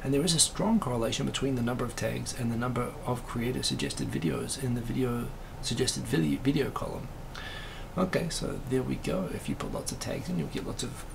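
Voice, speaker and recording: Man, raspy and heavily gravelly, loose rambling live-stream host, steady hiss behind. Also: medium pitch, fairly quick. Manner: rambling, many fillers and asides. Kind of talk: casual live-stream chat reading comments.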